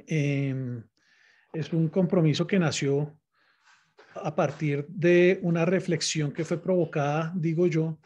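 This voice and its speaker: Un hombre de mediana edad con acento colombiano da la bienvenida, uno por uno, a los participantes de una videollamada. Tiene una voz algo aguda pero oscura y cálida, y habla en tono relajado y conversador. El audio del auricular suena apagado, con poco brillo. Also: male voice, on the high side, steady tone, slow, darkish tone, slightly rough. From born in Mexico, raised in Colombia. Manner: chatty, greets each participant by name. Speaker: host of an online talk. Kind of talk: relaxed video-call welcome. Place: home room with closed blinds. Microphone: headset on a video call.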